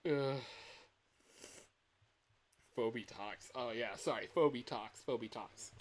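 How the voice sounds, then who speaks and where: Male streamer, early 20s, mid-pitched, rambling and self-deprecating, faint hiss. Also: drawn-out words. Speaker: livestreamer and indie game developer. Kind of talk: casual livestream chat. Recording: hissy home livestream audio.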